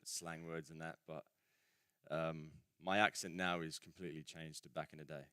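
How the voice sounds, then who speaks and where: A man's voice: extremely low pitch, flat delivery, moderate pace, sharp tone, clean tone, no room sound, clean studio sound. A young man with a mixed English and Australian accent, chatting casually as a football guest on a radio show. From England. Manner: laid-back, slangy, trailing fillers. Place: radio studio.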